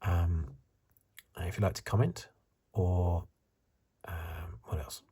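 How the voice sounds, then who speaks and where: Soft, breathy, low-voiced ASMR man. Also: fairly even intonation, slow pace. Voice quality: soft, breathy, husky, partly whispered. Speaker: host of an ASMR review channel. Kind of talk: soft-spoken ASMR commentary with whispering.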